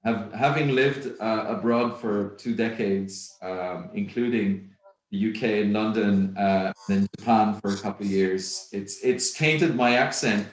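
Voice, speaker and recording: A middle-aged man from Belgium, a native Dutch speaker with a Flemish accent, presenting at a virtual conference in a measured, reflective way with many ums. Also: lowish voice. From Belgium (Flanders). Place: home office, indoors. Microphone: video call. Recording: roomy video-call audio.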